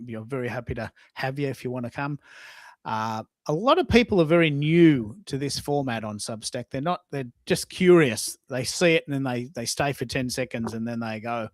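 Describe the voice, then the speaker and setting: A man's voice: mid-range voice, no room sound, studio quality. A man hosting a casual live audio chat, opinionated and repeating himself for emphasis. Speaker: live show host.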